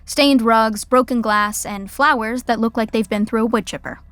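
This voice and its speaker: Woman as a wry, higher-pitched sitcom narrator. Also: steady tone, moderate pace, fairly bright tone, no room sound, close to an everyday voice. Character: wry, conversational storyteller narrator.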